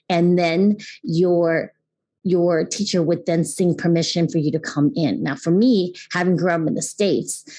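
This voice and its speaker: Female podcast host, fifties, from Taiwan, reflective and earnest, slightly low pitch. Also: darkish tone. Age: 50s. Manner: earnest, reflective, with frequent restarts. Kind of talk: solo podcast monologue.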